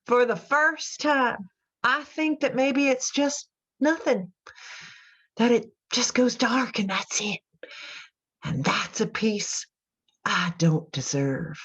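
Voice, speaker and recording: A woman acting in a radio drama as an anguished, guilt-ridden mother in prison, speaking in a halting, confessional way. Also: fairly high pitch, lively intonation, fairly bright tone, husky, exaggerated voice. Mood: bleak, lost. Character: guilt-ridden imprisoned mother who killed her daughters.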